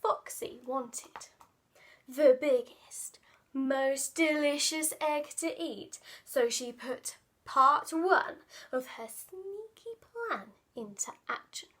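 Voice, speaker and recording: High-pitched woman, slow delivery, dramatic children's storyteller, playful hushed asides. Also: lively intonation. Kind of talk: expressive read-aloud of a picture book with playful asides.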